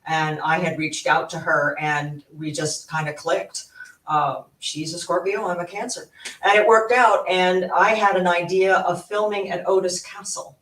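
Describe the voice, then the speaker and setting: A man's voice: high-pitched, steady tone, measured pace, a bit bright, far from the mic. A man guest on a podcast, chatting casually about his acting work and laughing easily. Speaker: guest actor. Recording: roomy, off-mic.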